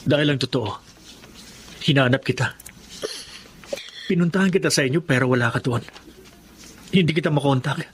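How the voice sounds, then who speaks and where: An earnest but suspicious husband in a Filipino radio drama, acted by a man. His voice sits at a middle pitch. The recording carries a little noise. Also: normal speed, long pauses, close to an everyday voice. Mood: pleading.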